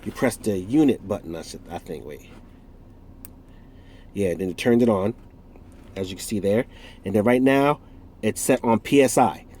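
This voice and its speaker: A man's voice: on the low side, lively intonation, moderate pace, long pauses, mellow, a little hiss or hum. Congested man rambling through a gadget review. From United States. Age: 40s. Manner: rambling, self-correcting, jokey asides. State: stuffed-up nose from a cold. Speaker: product reviewer. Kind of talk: casual unboxing review.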